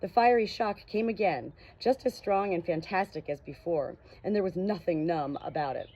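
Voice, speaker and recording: A woman with a somewhat low, husky voice serves as an audiobook reader. She speaks in an expressive, personal storytelling style. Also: normal speed, low-quality recording. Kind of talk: casual introduction and expressive narration of a novel.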